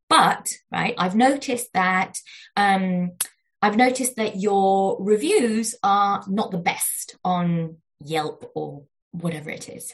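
A woman with a squeaky, small voice answers interview questions at a middling pitch. She talks thoughtfully, stops and restarts often, and keeps checking in with "right?" as she explains. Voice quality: describes her voice as squeaky and little. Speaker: guest, negotiation researcher. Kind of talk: conversational interview.

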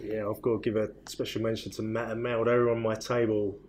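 A man's voice: on the low side, level tone, fast, a little mellow, slight background noise. A man, a guest on an interview, speaking bluntly and plainly. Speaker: guest, property investor. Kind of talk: casual interview chat.

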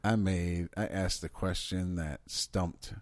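A man co-hosting a podcast in casual chat, excitable and swearing as he riffs on questions. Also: sits low, slow, dry recording. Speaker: podcast co-host.

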